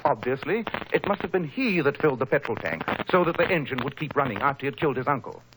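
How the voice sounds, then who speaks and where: A man's voice: medium pitch, expressive, fast, noisy background, natural voice. A man acting a coolly superior British detective in a 1940s radio drama, precise and didactic, on an old broadcast recording. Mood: coolly explaining. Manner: precise, didactic, self-assured.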